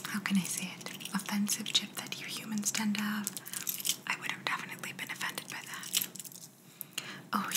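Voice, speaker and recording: A slow, breathy whisper, close to the mic, belongs to a female alien examiner acted by a young woman. She is calm and clinical, and gently reassuring in the manner of an ASMR sci-fi roleplay. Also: mid-range voice, natural voice. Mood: softly teasing. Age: young adult.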